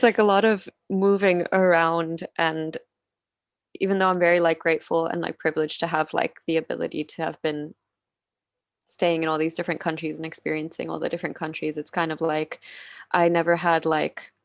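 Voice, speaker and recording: A woman in her twenties from Finland chats on a video call, her voice fairly low and the sound dry and close. She speaks softly, giggles often, and fills her sentences with "like". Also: flat delivery, moderate pace, no room sound. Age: 20s. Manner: soft-spoken, giggly, many "like" fillers. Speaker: podcast guest. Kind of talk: casual interview chat.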